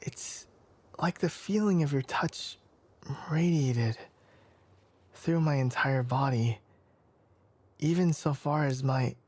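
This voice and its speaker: A man playing a flustered, formal young fox-eared forest scholar in an intimate ASMR anime roleplay, hesitant and stammering, often slipping into a breathy whisper. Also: fairly high pitch, takes it slowly, pauses often, darkish tone. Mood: embarrassed, strained.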